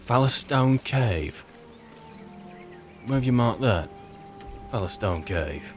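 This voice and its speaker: Male roleplayer for fantasy game commentary: medium pitch, wry, improvised and slightly hesitant. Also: expressive, slow, music in the background. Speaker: roleplay video maker and player.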